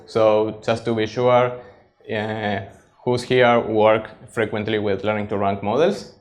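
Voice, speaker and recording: A man in his thirties from Argentina with an Argentine Spanish accent, a native Spanish speaker giving a technical meetup presentation to an audience. He explains plainly and pauses often. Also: fairly low pitch, little pitch movement. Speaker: conference speaker, data scientist. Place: meetup stage before an audience.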